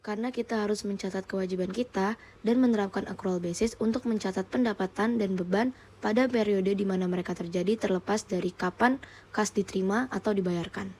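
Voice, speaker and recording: A woman's voice: mid-range voice, fairly even intonation, fairly quick, darkish tone. Woman over faint background noise.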